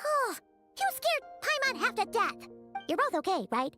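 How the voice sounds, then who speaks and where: A woman voicing a tiny, excitable, childlike girl companion in a video game, with a squeaky voice and an exclaiming manner. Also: moderate pace. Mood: startled, fussing. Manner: refers to self in third person, exclaiming. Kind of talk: scripted video game dialogue. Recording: bright game music behind.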